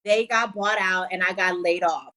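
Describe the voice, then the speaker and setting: A woman from Liberia in her forties, a guest on a TV talk show, upbeat and laying out her advice in clear numbered tips. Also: fairly low pitch, steady tone, moderate pace, pushed and bright. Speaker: entrepreneur guest.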